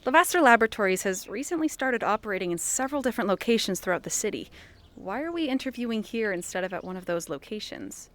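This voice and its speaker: Straight-laced small-town reporter played by a woman, average pitch, earnest, dryly probing, for an audio drama. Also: very animated, fast, a bit bright. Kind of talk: scripted audio fiction acting.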